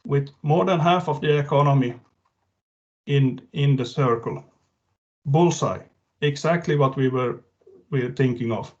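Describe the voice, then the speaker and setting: A man's voice: medium pitch, a little slow, long pauses, darkish tone. A man giving a prepared case-study talk on a webinar, reading steadily with small restarts. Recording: dull lo-fi video-call audio.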